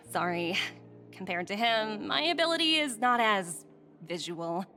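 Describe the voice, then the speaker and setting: An actress playing a confident, playful young woman executive in a video game, with an expressive, teasing manner. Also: mid-range voice, slow, soft background music, heavily acted.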